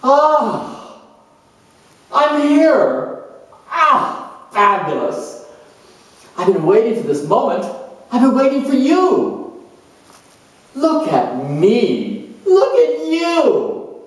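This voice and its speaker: A man acting out a vain, self-important company boss in a solo stage dramatization, theatrical and grandiose with dramatic pauses. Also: very high voice, huge pitch swings, voice pushed up, full character voice. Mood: gushing, flamboyant. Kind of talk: solo astrological character dramatization. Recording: echoey room, distant microphone.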